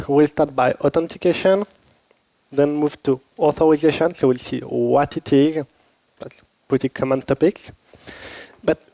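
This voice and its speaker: A man's voice: a little high, vocal fry, warm tone, no echo, low-quality recording. A man with a French accent, based in Switzerland, leading a conference workshop in a chatty, informal way and asking the room questions, slightly under the weather from a cold. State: recovering from a cold. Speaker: workshop instructor. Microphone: handheld or lapel microphone.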